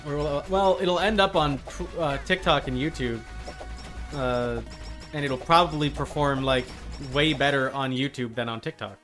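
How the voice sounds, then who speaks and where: A man's voice: mid-range voice, normal speed, a bit bright. Casual male streamer over game music. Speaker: live streamer. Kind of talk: casual gaming stream chat. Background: video game music.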